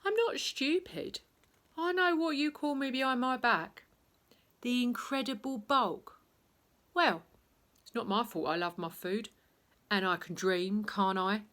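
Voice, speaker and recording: A woman acts out a great big male sports teacher from a children's storybook. He is wounded but defiant, and declares himself slowly, loudly and with heavy emphasis. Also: on the high side, pitched above the performer's own voice. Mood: hurt, dreamy.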